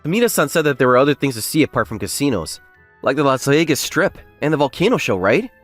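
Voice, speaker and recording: A man's voice: medium pitch, sing-song, fast, a bit bright, no echo, faint music behind. A man playing a modest, plain-spoken young factory worker who narrates his own story in a manga dub. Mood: musing. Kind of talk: English manga dub acting and first-person narration.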